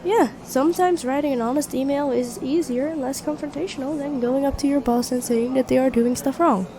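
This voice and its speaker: Woman acting a high-voiced, sweet best friend in a cafe. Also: fairly quick. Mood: reassuring. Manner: gentle, earnest, encouraging, conversational with soft hesitations. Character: sweet, supportive young woman, best friend with a secret crush. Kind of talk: intimate roleplay audio acting, one-sided dialogue. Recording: cafe chatter behind the voice. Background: coffee shop crowd ambience.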